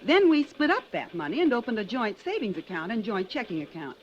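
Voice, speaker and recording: A woman's voice: on the high side, very animated, fairly quick, dull audio. A woman playing a polite, long-winded, muddled bank customer in old-time radio style, on an old recording. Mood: rambling, earnest. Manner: rambling, polite.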